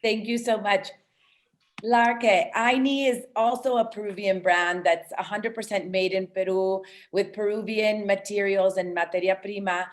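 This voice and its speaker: Woman, mid pitch, moderator, polite hesitant questions, webcam on a video call. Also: fairly even intonation, measured pace. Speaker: panel moderator. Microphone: laptop webcam on a video call.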